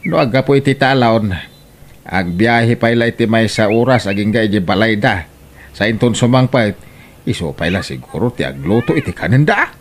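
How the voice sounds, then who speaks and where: A woman's voice: rumbling low, expressive, brisk, a little hiss or hum. A woman playing an aggrieved grown daughter in a comedic radio drama, complaining in a plaintive way. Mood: weary. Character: aggrieved grown daughter venting about spouse and in-laws.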